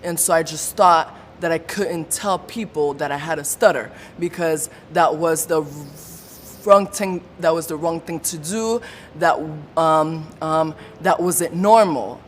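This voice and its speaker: Woman, lowish voice, unhurried testimony, stutters with blocks and repetitions; reverberant room, faint rumble. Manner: stutters with repeated sounds, blocks and pauses. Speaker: support group member sharing her story. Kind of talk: personal testimony.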